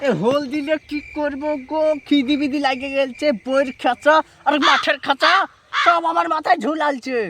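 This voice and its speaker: Man acting a shrill, aggrieved village uncle. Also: steady tone, moderate pace, background noise. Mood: woeful. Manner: grumbling, wailing, exasperated. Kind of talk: amateur village comedy skit.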